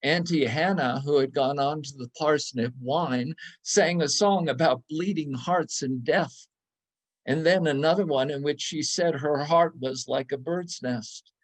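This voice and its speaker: A man reading a literary story aloud for a church service, with measured, deliberate phrasing. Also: fairly high pitch. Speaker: readers theater reader at a church service.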